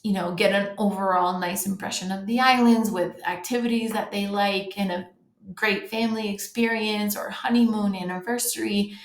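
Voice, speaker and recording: A woman's voice: medium pitch, steady tone, moderate pace. A woman from the United States giving a friendly, informal webinar presentation to travel agents, with frequent ums and restarts. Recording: roomy echo.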